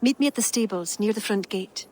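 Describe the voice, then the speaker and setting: A woman playing an earnest, determined adviser to a king in a video game, speaking in a measured, formal way. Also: medium pitch, darkish tone, lightly acted, low-quality recording. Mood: composed, explanatory. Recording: game audio over clear outdoor noise.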